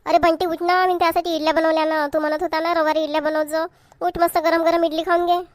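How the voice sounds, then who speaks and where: Woman acting cartoon mother, high-pitched, Varhadi Marathi accent, homely, fussing, caring, dry sound. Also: flat delivery, quick pace, no room sound. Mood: nagging. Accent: Varhadi Marathi from Vidarbha. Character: Indian mother, fussing over her children, caring. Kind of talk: homemade comedy cartoon dialogue.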